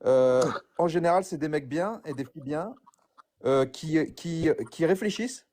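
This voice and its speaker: A man with a mellow, darker voice speaks in a halting way, filling gaps with hesitant sounds. He addresses a young participant in a discussion. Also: medium pitch. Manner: hesitant, many filler sounds. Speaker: debate participant. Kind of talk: addressing a debater.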